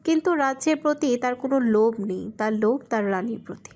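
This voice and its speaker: A woman's voice: on the high side, lively intonation, fairly quick, a little mellow. A woman reading scripted narration for a romantic audio story.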